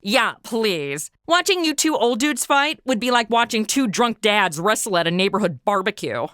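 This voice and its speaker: A woman's voice: medium pitch, sing-song, moderate pace, fairly bright tone, smooth, no room sound, studio quality. A woman playing a brash, sarcastic modern sidekick in a comedy audio drama, with a snarky, casual, slangy delivery.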